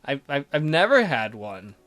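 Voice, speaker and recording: Male gamer as a shy schoolboy narrator, visual novel, awkward, stammering, bright voice, light music behind. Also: mid-range voice, big pitch swings, normal speed. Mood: awkward, hesitant. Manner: stammering, hesitant. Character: awkward, hesitant teenage schoolboy narrating his story.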